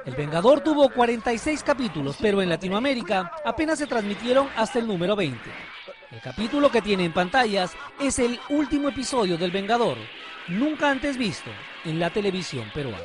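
High-pitched, animated male TV narrator. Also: fairly quick. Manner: dramatic, storytelling delivery. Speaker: reporter. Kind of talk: scripted TV feature narration. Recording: studio voice-over with loud music bed. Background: loud music.

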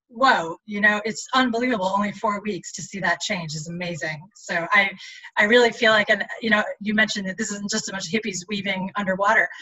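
Fast, bright-voiced woman interviewer. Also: mid-range voice, low-quality recording. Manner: enthusiastic, chatty. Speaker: interviewer for a society. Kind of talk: conversational interview over video call. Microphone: video call webcam.

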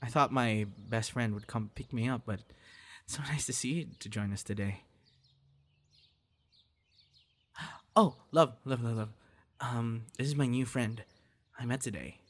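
A man's voice: mid-range voice, normal speed, natural voice. Man acting a friendly, coaxing young guy. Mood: cheerful, surprised. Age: young adult. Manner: earnest, coaxing, sighs and breathy reactions. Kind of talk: ASMR audio roleplay, one-sided dialogue to listener.

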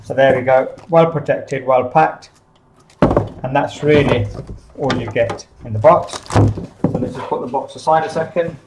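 Halting man explaining a product. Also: medium pitch, very noisy. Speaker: product demonstrator for a CCTV supplier. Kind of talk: unscripted product unboxing and overview. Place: small office, indoors.

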